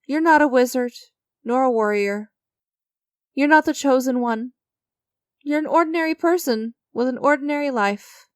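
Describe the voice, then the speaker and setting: A woman playing an enigmatic, creepy stranger in a dramatic ASMR-style audio roleplay, teasing and condescending, with deliberate theatrical pauses. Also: fairly high pitch, dry recording, clean studio sound. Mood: probing, ominous. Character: enigmatic, creepy female stranger, sly and knowing deal-maker.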